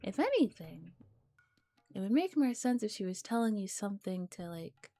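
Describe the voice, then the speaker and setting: A woman with a mellow, soft voice reads and comments like an ASMR streamer, relaxed and casual. Her pitch sits in the middle for a woman. Faint music plays behind her. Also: normal speed, no room sound. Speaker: streamer hosting a visual novel ASMR stream.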